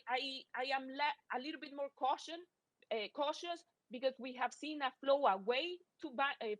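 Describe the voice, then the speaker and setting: A woman from Costa Rica whose first language is Spanish presents as an economist at a conference. Her voice sits fairly high. The sound is dry, with very little room in it. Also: dry recording, rough recording. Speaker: academic economist, panel speaker.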